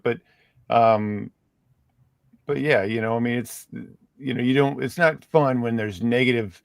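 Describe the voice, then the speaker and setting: A man co-hosting a casual podcast chat, speaking haltingly with lots of "you know" fillers. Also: mid-range voice, no echo, studio quality.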